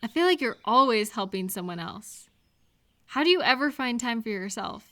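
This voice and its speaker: A woman acting a caring, cheerful friend in a scripted roleplay audio, talking gently and attentively to the listener. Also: fairly high pitch, very animated. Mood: gentle, concerned. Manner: gentle, unhurried, attentive questioning with pauses for replies.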